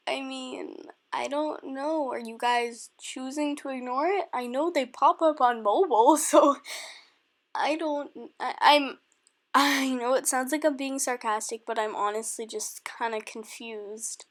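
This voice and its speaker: A woman with a naturally high voice talks into her home computer mic, rambling through a vlog. She sounds hesitant and a little defensive, restarting sentences and trailing off as she explains herself. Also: fairly bright tone. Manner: hesitant, halting, many restarts, confused and defensive. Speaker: video creator. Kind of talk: rambling vlog response to comments.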